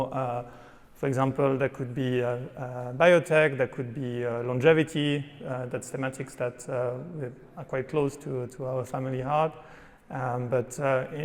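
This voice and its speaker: A man answering as a panelist, halting, with many restarts and fillers. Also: mid-range voice. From Switzerland. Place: conference hall on stage. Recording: reverberant conference hall.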